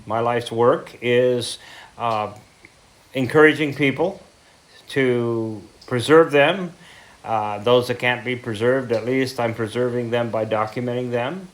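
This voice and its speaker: A man in his seventies with an American accent, speaking informally as a heritage lecturer, telling his story reflectively with pauses and self-corrections. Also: medium pitch. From central Idaho, United States. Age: 70s. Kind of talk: informal talk to a local group.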